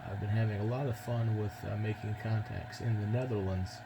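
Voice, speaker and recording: A man's voice: lowish voice, fairly even intonation, brisk. American man on radio, very noisy recording. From United States. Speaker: amateur radio operator. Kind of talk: casual ham radio contact.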